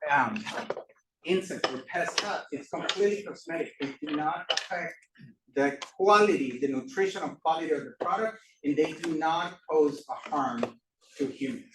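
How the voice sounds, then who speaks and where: A man from Costa Rica giving a classroom lecture as a professor, halting with many fillers and restarts. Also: on the high side, background noise. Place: lecture room. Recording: echoey room from far off.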